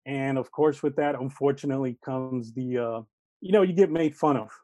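A man's voice: mid-range voice, moderate pace, dark tone, dry recording. A man in his thirties who grew up speaking Spanish, answering interview questions about his life as an elementary school teacher, earnest and reflective, with many "uh"s and "you know"s. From Texas, United States.

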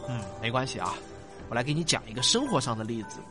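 A man's voice: medium pitch, close to an everyday voice. A man playing a patient, affectionate father who explains science didactically, stretching his phrase endings, in a sci-fi audio drama. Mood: instructive. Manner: didactic, drawn-out sentence particles, animated. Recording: music bed behind voice.

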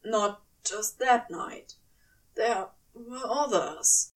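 A woman's voice: fairly high pitch, very slow, distant mic, poor audio. A woman playing a guilty, flustered male demon in audio fiction, stammering and thick-voiced as he confesses. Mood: guilty, subdued. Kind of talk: audio fiction character acting.

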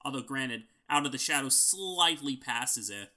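Bright, nasal, argumentative man. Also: mid-range voice, animated. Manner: argumentative, quick to disagree. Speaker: podcast co-host. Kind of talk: casual chat.

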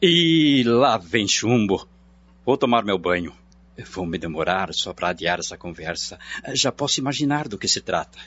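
A man's voice: on the low side, animated, normal speed, close to an everyday voice. A man playing a wry, placating husband who dodges arguments in a radio soap. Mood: wry dread. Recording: dull audio, faint hum and noise.

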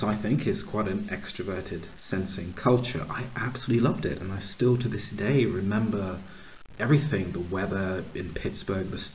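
A man's voice: low-pitched, darkish tone. A man from Uganda who grew up in the United States, hosting a casual interview and telling stories with enthusiasm. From Uganda, raised in the United States. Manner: enthusiastic storytelling with affirming interjections. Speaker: podcast host. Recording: dull lo-fi audio with faint noise.

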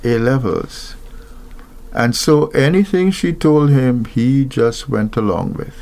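Gravelly man from Guyana, halting. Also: medium pitch, a little mellow. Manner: thoughtful, halting, many fillers and restarts. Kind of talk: reflective talk on marriage.

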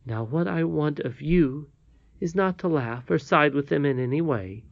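A kindly, withered old woman from a storybook, acted out by a male reader, pitched high and soft in manner. She admonishes gently but firmly, with an air of mystery. The recording sounds a little dull. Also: animated, normal speed, creaky, no echo. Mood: cautioning. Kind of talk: storybook character reading.

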